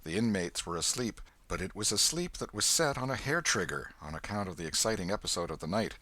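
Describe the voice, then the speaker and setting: A man with an American accent reading literary narration for an audiobook in an expressive, deliberate storytelling manner. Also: deep voice, no echo.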